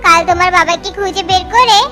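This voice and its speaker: An actor as a high-voiced, uneasy cartoon bird. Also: fast talker, bright, forceful tone. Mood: coaxing. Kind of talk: children's cartoon dubbing. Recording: children's music playing behind voice.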